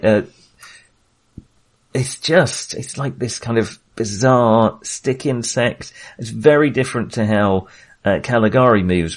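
A man in a casual film discussion, hesitantly searching for his words and often rephrasing as he goes. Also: medium pitch. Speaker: film podcast host.

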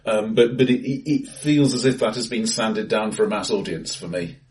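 A lower-voiced man trading dry, wry remarks in a relaxed film chat, stumbling over repeated words as he goes. He sits back from the mic in a bright, reflective room. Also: moderate pace, distant mic. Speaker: podcast co-host. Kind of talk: casual film discussion. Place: acoustically bright room, face to face.

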